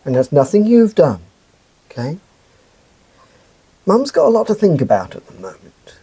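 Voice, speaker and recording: Man playing a husky, gentle, patient dad. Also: on the high side, huge pitch swings, moderate pace, heavily acted, poor audio. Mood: gentle, reassuring. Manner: gentle, reassuring. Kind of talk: scripted puppet show drama.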